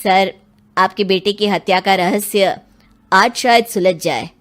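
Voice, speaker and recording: A woman's voice: mid-range voice, a little slow, fairly bright tone, lightly acted. A woman playing an earnest, persistent male police inspector in a story, with a courteous, questioning manner. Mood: assured. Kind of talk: dramatized audio storytelling. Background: music.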